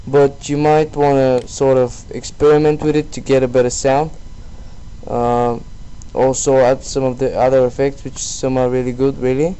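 A man presenting a casual screen-recorded software tutorial in a halting, self-deprecating way. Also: mid-range voice, clean tone, poor audio. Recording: steady background noise on voice-over.

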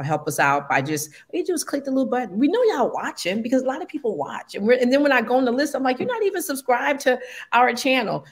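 A woman in her forties hosting a casual livestream interview over a video call from home, chatty and animated, addressing viewers as family. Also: fairly low pitch. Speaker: host. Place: home room with plants. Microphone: video call webcam.

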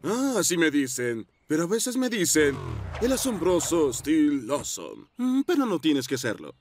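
Swooping, showy stunt motorbike star. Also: female voice, deep voice, huge pitch swings, a little slow, a little mellow, faint music behind, full character voice. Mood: smug, breezy. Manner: breezy, self-satisfied. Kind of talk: dubbed children's cartoon acting.

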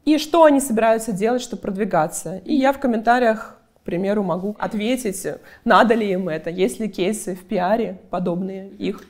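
A woman PR agency head giving interview answers, brisk and talkative, explaining through client examples. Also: medium pitch, darkish tone. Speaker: PR agency head, guest expert.

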